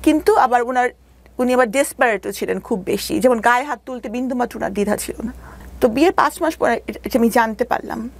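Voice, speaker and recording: High-voiced, creaky Bangladeshi woman telling her story. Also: fast talker. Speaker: interview guest telling her life story; doctor. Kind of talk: candid personal storytelling in a radio interview. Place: radio studio.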